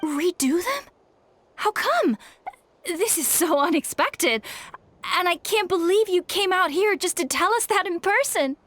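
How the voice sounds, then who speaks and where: A woman playing an earnest, self-doubting young girl warrior in training in an anime-style video game, youthful and expressive. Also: very high-pitched, measured pace, dry recording, exaggerated voice. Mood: surprised. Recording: music playing behind the voice.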